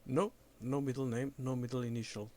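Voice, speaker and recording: A man on a prank phone call, speaking slowly and deliberately, overly polite, acting confused. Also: mid-range voice, fairly even intonation, darkish tone. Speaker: scambaiter posing as a caller.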